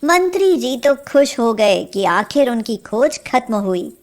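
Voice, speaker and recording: A woman narrating a scripted fairy tale as a storyteller, in a measured manner. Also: fairly high pitch, very animated.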